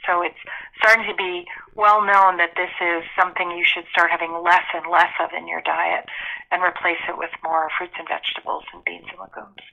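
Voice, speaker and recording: A woman's voice: fairly low pitch, level tone, fairly quick, gravelly, sharp tone. A woman giving informative, emphatic explanations as a nutrition expert in a telephone interview, backing her points with figures and examples. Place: telephone call. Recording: dull lo-fi telephone interview audio.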